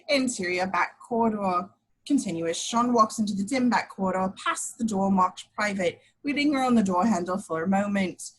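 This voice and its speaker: A woman's voice: medium pitch, husky, low-quality recording. A woman reading screenplay action lines as the narrator of a table read. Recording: home recording.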